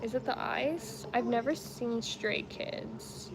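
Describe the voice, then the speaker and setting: Chatty woman with a gravelly voice. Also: a little high, takes it slowly, a crowd in the background, low-quality recording. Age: around 30. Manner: chatty, rambling, laughs easily. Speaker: crochet livestream host. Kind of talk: casual chat while crocheting, reading comments.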